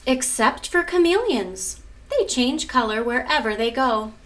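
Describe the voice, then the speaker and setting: A woman's voice: high-pitched, lively intonation, unhurried, distant mic. A woman who teaches art and is a mother, presenting a lesson and reading a picture book aloud in an instructive way, often prompting children with questions. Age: adult. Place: at home.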